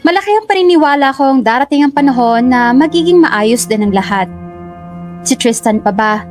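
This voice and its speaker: A cheerful young woman, voiced by an actress in a radio drama, a little high-pitched, chatty and earnest. She also narrates, over faint background music. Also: expressive, normal speed. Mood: earnest narration.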